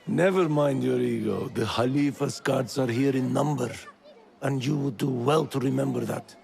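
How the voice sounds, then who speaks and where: A man playing a weary, cautious older mentor of thieves in a video game, speaking in a measured, admonishing way. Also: medium pitch, creaky, a little mellow. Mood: stern, weary. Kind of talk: dramatic video game acting.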